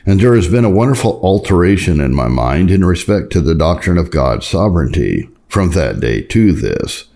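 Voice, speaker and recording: A man with a very low voice reads a scripted religious memoir. His delivery is solemn and formal, with measured phrasing suited to an audiobook of old devotional writing. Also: big pitch swings, mellow. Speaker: audiobook reader. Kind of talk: scripted reading of a Puritan memoir.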